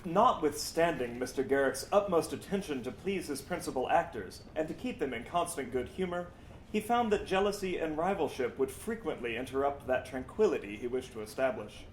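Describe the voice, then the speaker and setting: Higher-pitched man reading aloud, lively intonation. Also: brisk. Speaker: reader. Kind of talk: scripted reading aloud of a historical account. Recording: roomy echo, background noise.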